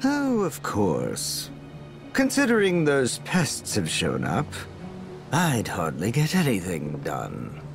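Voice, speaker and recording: A man voicing an obsessive, creepy scientist villain with a sly, drawn-out delivery. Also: on the low side, huge pitch swings, creaky, music in the background, heavily acted.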